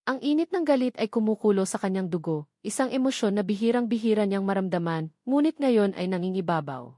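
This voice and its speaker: A woman narrates a romantic drama in a scripted storytelling style. Her voice sits at a middle pitch, and the sound is dry and close, with no room around it. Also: lively intonation, a little fast, warm tone, no room sound.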